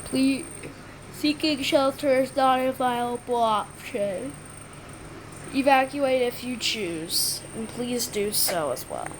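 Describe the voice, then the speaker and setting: A woman performing an amateur scripted emergency roleplay, halting and often repeating phrases, with read-out announcements. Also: fairly high pitch, noisy background. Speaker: creator performing a hobby emergency alert roleplay.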